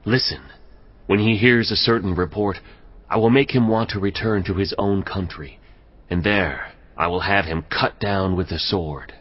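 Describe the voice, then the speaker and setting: An actor playing the prophet Isaiah, delivering a message with solemn authority. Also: male voice, sits low, normal speed, husky. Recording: dull, distant-sounding audio.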